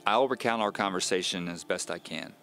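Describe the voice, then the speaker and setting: A man's voice: low voice, brisk, fairly bright tone, faint music behind. A man narrating a scripted first-person mystery audio drama as a wry, self-deprecating novelist.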